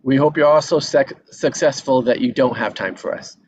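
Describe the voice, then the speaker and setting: A man's voice: mid-range voice, moderate pace. A man hosting an online table read, chatting casually. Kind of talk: casual chat. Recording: dull lo-fi call audio, faint noise.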